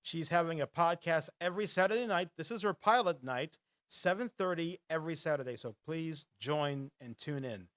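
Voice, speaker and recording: A man hosting a casual live podcast, chatting and reading quiz questions in a rambling way and laughing often. He has a booming radio-style voice. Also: normal speed, fairly bright tone. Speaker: podcast host.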